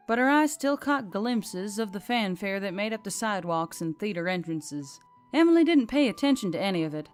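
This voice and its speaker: A female narrator reads a scripted fantasy story in a medium-pitched voice. Soft background music runs beneath her reading. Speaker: audiobook narrator.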